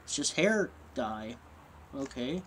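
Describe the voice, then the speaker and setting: A man's voice: medium pitch, big pitch swings, slow, a bit bright, slight background noise. A man casually commenting and joking while playing a game, on a headset mic. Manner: reactive, joking asides. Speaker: gameplay commentator. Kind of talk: casual let's-play commentary.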